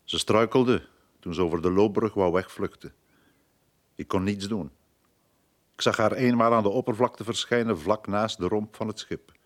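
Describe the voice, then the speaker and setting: Man acting a low-voiced, shaken sailor. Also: moderate pace, lightly acted. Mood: shaken, defensive. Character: shaken sailor giving testimony. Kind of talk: dramatic radio play dialogue.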